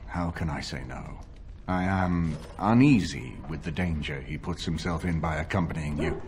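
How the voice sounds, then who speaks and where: A man playing a stately older nobleman in a fantasy game, formal, sober and authoritative. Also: low-pitched. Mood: uneasy. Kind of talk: video game cutscene acting.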